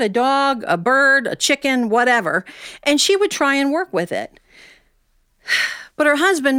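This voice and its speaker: An American woman telling a humorous personal tale as a storyteller, in a folksy, animated manner with dramatic pauses. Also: high-pitched, no echo, studio quality. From Ohio, United States.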